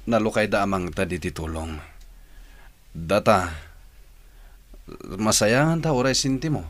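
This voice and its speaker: Envious husband, lowish voice, grumbling, tight-fisted, radio drama style. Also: male voice. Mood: envious, reflective. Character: suspicious, stingy husband, envious of generous neighbours. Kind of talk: Ilocano radio drama acting.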